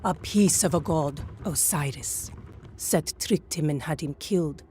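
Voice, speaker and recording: A woman voicing a solemn, resolute priestess of ancient Egypt in a video game, speaking gravely and with measure. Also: low-pitched, a little slow, dark tone, faint music behind. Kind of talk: video game cutscene acting.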